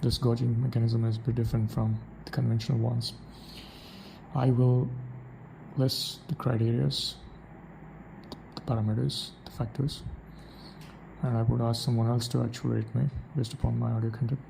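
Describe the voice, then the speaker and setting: A man's voice: mid-range voice, flat delivery, moderate pace, creaky, background noise. A man with an Indian English accent, thinking aloud in a reflective solo monologue, restarting his sentences often. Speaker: podcaster.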